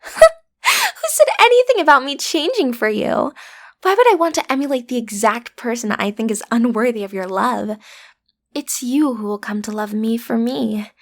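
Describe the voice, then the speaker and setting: Casting: woman as high-voiced, breathy teenage girl, an awkward villain in training, smitten and playfully threatening, audio roleplay. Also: expressive, normal speed. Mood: defiant, flustered. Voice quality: breathy, often dropping to a whisper. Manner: hesitant, flustered, playfully menacing. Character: lovestruck teenage schoolgirl, villain's daughter, awkward amateur kidnapper. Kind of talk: audio roleplay acting, intimate direct address to listener.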